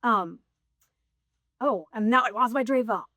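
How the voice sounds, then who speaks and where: A woman's voice: a little high, moderate pace, sharp tone, dry recording. A woman in her sixties sharing her faith story in a casual conversation, rambling and laughing at herself. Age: 60s. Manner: rambling, self-deprecating, laughs often. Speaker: guest.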